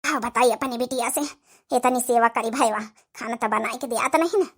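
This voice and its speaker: A woman's voice: on the high side, fairly quick, close to an everyday voice. A woman voicing an ailing, self-sacrificing old village mother in an Awadhi comedy cartoon, plaintive and soothing. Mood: plaintive aside.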